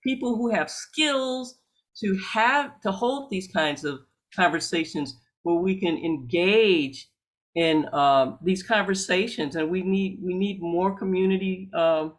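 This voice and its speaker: A man's voice: high voice, takes it slowly. A man facilitating a workshop discussion, a clinician speaking in an affirming, encouraging and reflective way.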